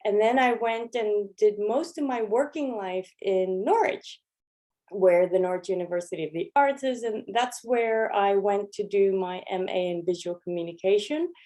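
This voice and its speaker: A woman leading an art workshop, talking through her slides in a relaxed, chatty way with lots of ums and restarts. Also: medium pitch, moderate pace. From Los Angeles. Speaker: workshop leader. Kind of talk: informal lecture with slides.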